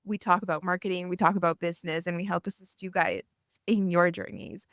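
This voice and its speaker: A woman's voice: lowish voice, steady tone, brisk, a bit bright, dry recording. A young woman from El Salvador hosting a podcast, upbeat and chatty, saying "like" often. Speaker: podcast host. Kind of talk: casual interview chat.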